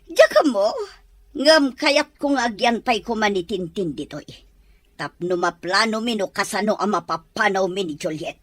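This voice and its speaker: A woman's voice: medium pitch, animated, normal speed, no room sound, lightly acted. A woman playing a bossy, scheming middle-aged mother-in-law in an Ilocano-accented audio drama.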